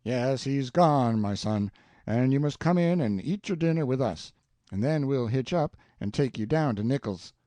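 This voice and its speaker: A man doing a genial older Southern gentleman. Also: mid-range voice, expressive, moderate pace, no echo, natural voice. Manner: genial, courtly. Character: kindly older Southern gentleman, warm host.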